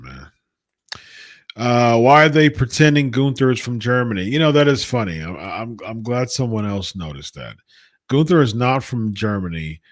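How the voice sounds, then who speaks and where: A man's voice: medium pitch, dry recording, clean studio sound. A man from the United States hosting a wrestling talk show, reading chat comments and repeating his points in an exasperated, emphatic way. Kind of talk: casual live-chat commentary.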